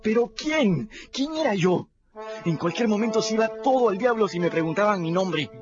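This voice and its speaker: An actor with a Peruvian Spanish accent playing a quick-witted young boy who tells his own story in a folksy first-person manner in a radio drama. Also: high-pitched, animated, music in the background. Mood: storytelling. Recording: dull lo-fi radio recording.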